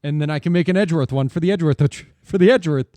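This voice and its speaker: A man's voice: fairly high pitch, racing, noisy background. A man chatting playfully as a streamer while playing a game. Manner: playful, joking. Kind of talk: casual chat while gaming.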